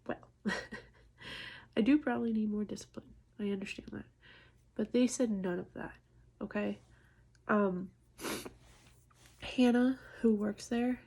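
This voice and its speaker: Husky, creaky woman in her thirties. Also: medium pitch, warm tone. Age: early 30s. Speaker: nurse and vlogger. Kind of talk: casual personal vlog monologue.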